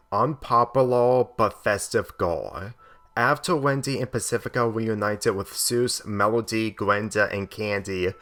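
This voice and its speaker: Male story narrator, lower register, reading scripted prose, soft music underneath. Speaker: fan fiction reader. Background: instrumental music.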